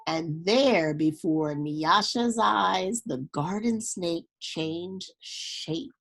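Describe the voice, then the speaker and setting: A woman reading a children's story aloud in a deliberate, expressive storytelling way. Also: on the low side, gravelly. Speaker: storybook reader.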